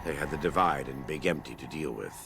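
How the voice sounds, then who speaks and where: An actor playing a devout, scarred former warlord in a video game, speaking in a solemn, measured, preacher-like way with a gravelly voice. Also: male voice, noisy background. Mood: grave, reflective. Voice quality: very deep, gravelly. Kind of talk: video game character dialogue.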